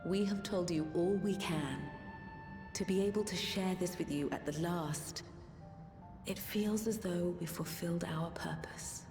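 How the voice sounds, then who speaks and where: A woman's voice: on the low side, a little slow, lightly acted. An actress playing a solemn, dignified female keeper of knowledge in a video game, grave and formal. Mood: gentle, resigned. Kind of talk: dramatic game cutscene acting. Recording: echoey hall reverb, game music.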